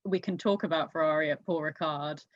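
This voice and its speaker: A woman in casual, excitable conversation, reviewing races as a podcast host. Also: sits low, fairly quick, no room sound, muffled recording. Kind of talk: casual race review chat.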